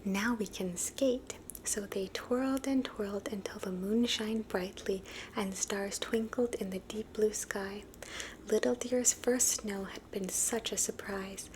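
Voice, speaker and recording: Slow-whispering woman reading a storybook. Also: medium pitch, a little hiss or hum, rough recording. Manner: soft unvoiced whisper. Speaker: storybook reader.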